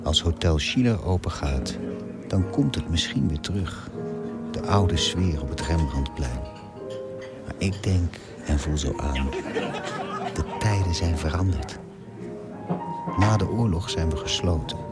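A deep-voiced ageing hotelier reminisces over loud music. He is wistful and measured, telling his story as a radio drama monologue. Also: lively intonation, over a noisy crowd. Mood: wistful, reflective.

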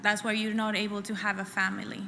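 A middle-aged woman from El Salvador, sharing her personal testimony at a church women's conference in an earnest, halting way, often repeating phrases. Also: mid-range voice, level tone, creaky, sharp tone. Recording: echoey hall with faint room noise.

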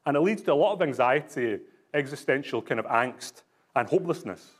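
A man's voice: mid-range voice, lively intonation, brisk, slightly rough. A man from Scotland with a Scottish accent, a pastor giving a conversational sermon full of rhetorical questions and fillers.

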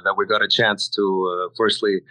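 Male founder from Singapore, bright forward voice on the low side, casual interview talk. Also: monotone, brisk, dry recording, low-quality recording. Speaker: company founder, interview guest.

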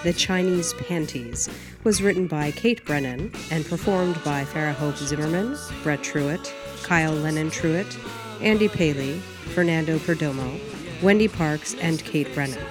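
A woman's voice: low voice, measured pace. A woman announcer reading scripted closing credits in narration style. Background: plucked guitar music.

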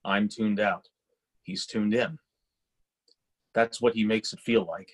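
An actor playing a detached, resentful grown son in a readers theatre drama. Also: male voice, lowish voice, fairly even intonation, slow pace, husky. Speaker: actor in a staged reading. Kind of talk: dramatic inner monologue and dialogue.

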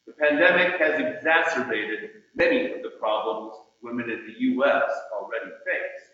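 A man delivering a sermon in a measured, deliberate way, pausing for emphasis as a preacher reading and reflecting. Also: on the high side, fairly bright tone. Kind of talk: sermon with read-out quotations. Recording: echoey room, distant muffled mic.

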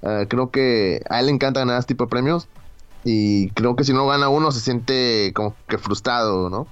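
A man's voice: mid-range voice, normal speed, fairly bright tone, smooth, dull audio, soft background music. A man, co-hosting a casual sports chat and talking hesitantly, with many fillers. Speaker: co-host.

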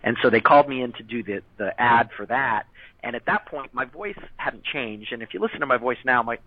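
A man's voice: mid-range voice, animated, a little fast, crowd noise. A man who voiced a character as a child, chatting in an interview and rambling with many fillers and restarts. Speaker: interview guest, former child voice actor. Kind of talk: casual interview chat. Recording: tinny phone-line sound.

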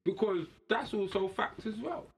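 A man's voice: high voice, normal speed, breathy edge. A man hosting a podcast, arguing in a blunt, slangy, casual way. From West Indian heritage. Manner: outspoken, argumentative, slangy. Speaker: podcast host. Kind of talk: casual heated discussion.

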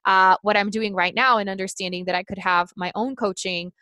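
A woman's voice: medium pitch, steady tone, fairly quick, sharp tone, dry recording. A woman in her thirties whose first language is Portuguese, a life coach talking earnestly as an interview guest, thinking aloud with restarts and frequent "you know"s. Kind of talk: casual interview chat.

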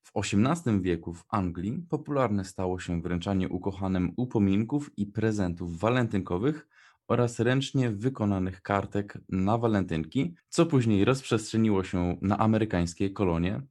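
A man's voice: on the low side, normal speed, darkish tone. A young man co-hosting a radio show, reading prepared notes and chatting casually. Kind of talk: scripted narration and casual radio chat.

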